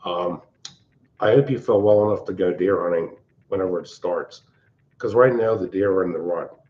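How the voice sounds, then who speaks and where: An older man, hosting a casual livestream from his open-plan home, talking in a loud, blunt, rambling way. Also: low voice, moderate pace, lo-fi sound. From Pennsylvania. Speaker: livestream host. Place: large open-plan house at night.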